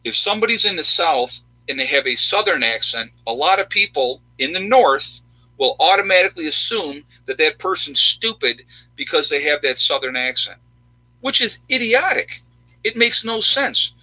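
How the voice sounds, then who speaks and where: A man's voice: on the high side, very animated, moderate pace, pushed and bright, dry recording, poor audio. A man in his fifties from upstate New York, talking bluntly and emphatically in a casual chat with viewers. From New York State, USA. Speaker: channel host, army veteran.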